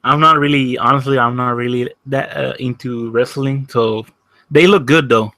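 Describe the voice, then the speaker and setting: A man's voice: medium pitch, fairly even intonation, moderate pace, bright, forceful tone, no room sound. A man in his early twenties chatting casually in a laid-back, hesitant way, full of fillers. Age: 20s. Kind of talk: casual chat.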